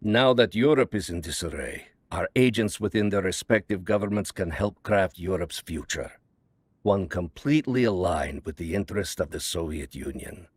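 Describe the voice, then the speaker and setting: Low-voiced, menacing Soviet spymaster. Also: male voice, expressive, no echo. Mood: cold, resolute. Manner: measured, deliberate, ominous. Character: calm, calculating Soviet spymaster, menacing and grandiose. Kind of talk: dramatic video game cutscene acting.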